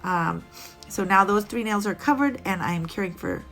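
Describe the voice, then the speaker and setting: A woman's voice: medium pitch, normal speed, creaky, fairly bright tone, soft background music. A woman narrating a casual nail art tutorial, chatty and rambling with little laughs, a bit sniffly.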